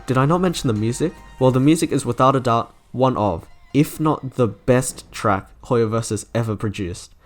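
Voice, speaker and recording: A man delivers scripted review narration in the manner of an online video creator. His pitch is medium and his delivery is enthusiastic and opinionated. Music plays softly behind him. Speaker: video creator reviewing game character trailers.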